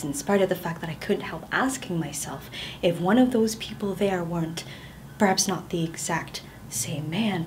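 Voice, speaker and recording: A woman performing a stage monologue as a haunted woman who confesses her hatred for the man who tormented her, speaking in a hushed near whisper. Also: sits low, a little hiss or hum, natural voice, poor audio.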